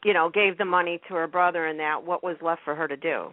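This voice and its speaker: A woman's voice: lowish voice, fairly even intonation, fast, no echo. A woman hosting a radio talk show in a casual, chatty style. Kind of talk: casual chat about TV soaps. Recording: muffled, narrow phone-like line.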